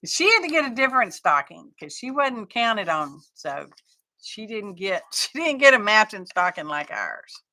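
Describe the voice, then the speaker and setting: Middle-aged woman, unhurried chatty craft host, bright edge to the voice. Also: mid-range voice, big pitch swings. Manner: chatty, rambling, talks to chat viewers. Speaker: craft live-stream host. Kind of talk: casual chat while crafting on a live stream.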